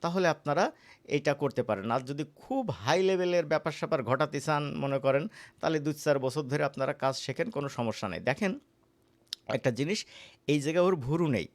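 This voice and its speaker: A man with a Bangladeshi accent giving a casual, conversational software tutorial as an instructor, often checking in with the listener. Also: a little high, animated, fairly quick. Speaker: animation course instructor.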